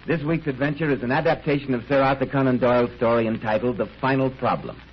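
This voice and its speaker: A man's voice: mid-range voice, steady tone, fast talker, breathy edge. Male 1930s radio announcer, muffled recording.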